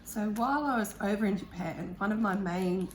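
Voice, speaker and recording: A woman with a croaky voice, chatting casually and eagerly about art supplies as she unboxes them, with the odd um. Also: mid-range voice, normal speed, a little hiss or hum, poor audio. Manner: chatty, enthusiastic, thinking aloud with ums. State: croaky voice, clearing throat. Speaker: art vlogger.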